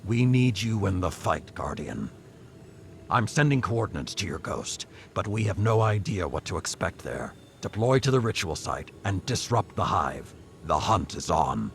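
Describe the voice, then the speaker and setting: An actor playing a stern old warlord in a video game, gruff, formal and commanding. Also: male voice, low-pitched, very animated, a little slow, a little hiss or hum, exaggerated voice. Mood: grave, urgent. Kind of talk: dramatic video game voice acting. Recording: game dialogue over background music.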